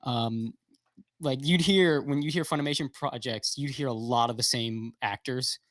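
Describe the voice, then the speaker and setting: A man's voice: mid-range voice, normal speed, dry recording, clean studio sound. A man talking as a guest in a casual livestream chat, rambling with lots of fillers and restarts, blunt and swearing freely, heard over a video call. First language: English.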